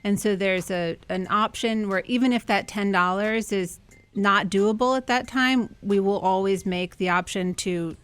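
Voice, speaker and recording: A woman who runs a nonprofit, answering interview questions in a clear, friendly, step-by-step way. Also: medium pitch, unhurried, a bit bright. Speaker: executive director of a nonprofit.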